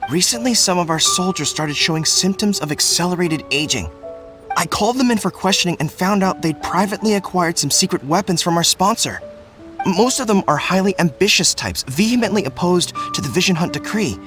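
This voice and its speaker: An actor playing an earnest, dutiful young army general, speaking seriously in an anime game. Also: male voice, sits high, animated, a little fast. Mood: serious, troubled. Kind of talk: English anime game voice acting. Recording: music behind.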